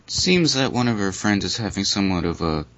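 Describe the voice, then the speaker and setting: A man voicing an earnest, worried young female cartoon pony in a slow, solemn, formal way, for a fan fiction reading. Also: lowish voice, expressive, fairly bright tone. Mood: grave concern. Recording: dull lo-fi recording.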